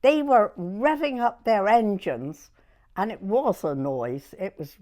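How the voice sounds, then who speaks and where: An elderly woman in her nineties with a southern English accent. She speaks slowly at an ordinary pitch, reminiscing in a halting, conversational way and checking now and then that her listener follows. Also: animated, dark tone. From Dorset, England. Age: 90s. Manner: halting storytelling, often checking the listener understands. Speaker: oral history interviewee.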